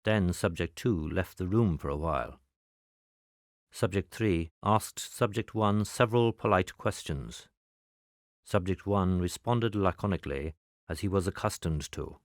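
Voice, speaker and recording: A man with a very low voice delivers scripted narration in the manner of a spy film. His delivery is measured and matter-of-fact, with no emotional colouring. The sound is dry, with almost no room around it. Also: no room sound.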